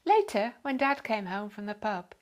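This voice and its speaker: Female storyteller, mid-range voice, expressive scripted reading, children's story. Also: mid-range voice, moderate pace.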